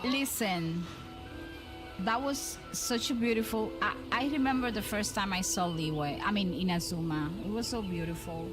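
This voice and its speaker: A woman's voice: mid-range voice, normal speed. A woman streamer with a Dominican accent chatting casually with viewers, rambling with frequent restarts and repeated words. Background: music.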